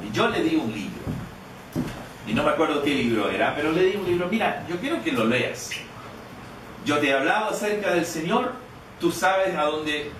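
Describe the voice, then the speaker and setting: A man preaching a sermon, telling a personal story in a conversational way with many restarts and repeated words. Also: medium pitch. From Canada. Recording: echoey, distant, noisy room.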